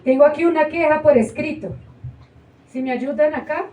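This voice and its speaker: Colombian woman, stage actress, high voice, ironic live reading, echoey theater, faint music. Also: fairly even intonation, moderate pace, mellow, slight background noise. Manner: ironic storyteller, mocking diminutives. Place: small indoor theater. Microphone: far camera. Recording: roomy hall from a far camera.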